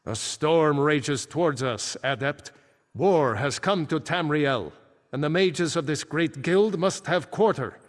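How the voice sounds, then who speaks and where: An actor playing a solemn ancient archmage back from death, speaking gravely and formally in fantasy video game dialogue. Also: male voice, a little high, takes it slowly. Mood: solemn, urgent. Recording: echoing game hall with long reverb.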